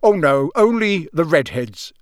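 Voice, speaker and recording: A man's voice: on the high side, big pitch swings, unhurried, no room sound, studio quality. A man voicing a friendly, unassuming middle-aged wizard father in an audiobook. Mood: affable. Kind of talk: audiobook character voice.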